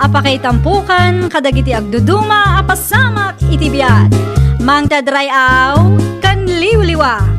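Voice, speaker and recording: Slow, sing-song drama host over music. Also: female voice, very high voice. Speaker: host and narrator. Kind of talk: radio drama host outro.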